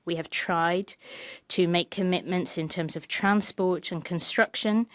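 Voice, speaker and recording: A woman interpreting a parliamentary speech live, with a steady, fluent delivery and few fillers. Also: on the low side, measured pace, dry recording. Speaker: simultaneous interpreter.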